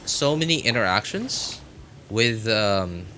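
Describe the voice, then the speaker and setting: Bright-voiced man rambling on a livestream. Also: fairly low pitch, lively intonation, takes it slowly, soft background music. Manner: rambling, repeats and reworks phrases. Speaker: game livestream host. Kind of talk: casual live stream chat.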